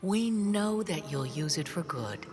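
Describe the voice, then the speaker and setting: An actress playing a solemn, benevolent otherworldly guardian in a fantasy video game, speaking in a measured way. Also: female voice, deep voice, lively intonation, over music. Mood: solemn blessing. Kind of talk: video game cutscene acting.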